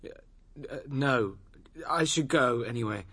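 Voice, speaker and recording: An actor playing a troubled young British composer, moody and evasive, halting when agitated, in a radio drama. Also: male voice, medium pitch, vocal fry, a bit bright, breathy edge, exaggerated voice. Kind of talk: broadcast radio drama acting.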